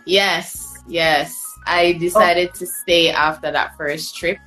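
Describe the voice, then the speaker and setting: A woman's voice: on the low side, steady tone, slow pace, pushed and bright, faint music behind, rough recording. A woman telling travel stories as a podcast guest, chatty and loose, with fillers and restarts. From Jamaica. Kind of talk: casual storytelling chat.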